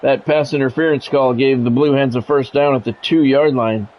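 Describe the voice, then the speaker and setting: A man's voice: medium pitch, steady tone, moderate pace, slight background noise. An American man doing play-by-play commentary on a football game in short, clipped announcer phrases. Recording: dull lo-fi sound, music behind.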